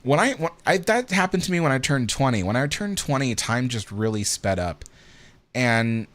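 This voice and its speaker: A man's voice: mid-range voice, animated, normal speed, fairly bright tone. A tired man in his thirties hosting a casual livestream, rambling with many ums as he answers viewer comments. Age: 30s. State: tired, yawning. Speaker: livestream host.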